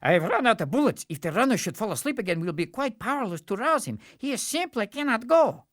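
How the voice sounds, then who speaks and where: Folktale sharpshooter, voiced by a man: excitable, stubborn, exclamatory, swooping up high. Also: a little fast, no room sound, studio quality. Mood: objecting. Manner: exclamatory, emphatic.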